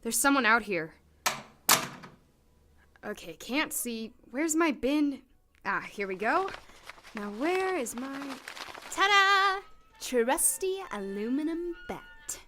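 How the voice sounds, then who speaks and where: A woman playing an impatient, sardonic young lab employee in an audio drama, expressive and given to muttering. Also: sits high, takes it slowly, long pauses, noisy background, heavily acted. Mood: muttering, wary.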